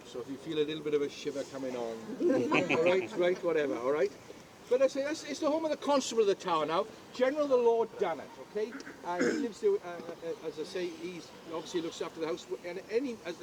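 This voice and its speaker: A man's voice: high-pitched, poor audio. An older Welsh man giving a jokey, chatty guided tour outdoors at a historic castle, often asking the crowd questions.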